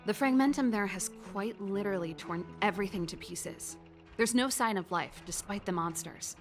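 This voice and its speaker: An actress playing a confident, playful older sister and inventor in a video game, brisk, teasing and expressive. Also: female voice, mid-range voice, natural voice. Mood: grave. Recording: music behind the voice.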